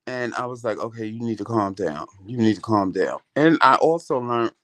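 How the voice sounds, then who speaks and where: A man's voice: on the low side, moderate pace. Light-voiced man telling stories casually. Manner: animated, swears freely, greets chat viewers by name. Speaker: vlog host. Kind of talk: casual storytelling chat.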